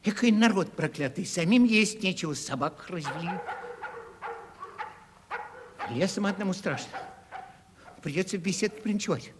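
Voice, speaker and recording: An actor playing a clownish, boastful and cowardly travelling comedian in a radio play, theatrical and grumbling. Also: male voice, high-pitched, expressive, fairly quick, noisy background. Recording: reverberant 1970s radio play studio recording.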